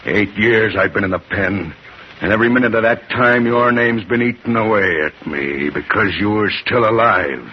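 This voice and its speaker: An American actor playing a menacing, bitter big-city gangster in a radio drama, gruff and domineering, with clipped tough-guy slang. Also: on the low side, normal speed, close to an everyday voice. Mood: vengeful, menacing. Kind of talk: 1950s radio drama acting. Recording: muffled, noisy vintage radio recording.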